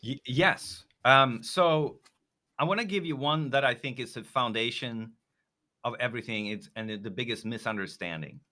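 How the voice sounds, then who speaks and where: A man's voice: medium pitch, moderate pace, sharp tone. A middle-aged man from Sweden, a native Swedish speaker, answering as a guest in a conversational interview. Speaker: interview guest, company founder.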